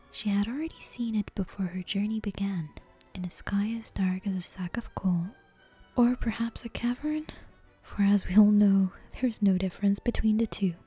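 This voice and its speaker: A woman softly whispering a bedtime story in an ASMR style, close to the microphone. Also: mid-range voice, slightly rough. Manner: soft, unvoiced whispering.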